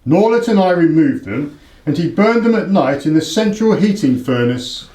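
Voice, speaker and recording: A male actor as a proud aristocrat cornered by debt, in a radio play. His voice sits fairly high, with formal, imperious and defensive delivery, and the room echoes clearly around him. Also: big pitch swings, moderate pace, mellow. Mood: solemn, defensive. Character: proud, desperate English baronet, indebted horse owner.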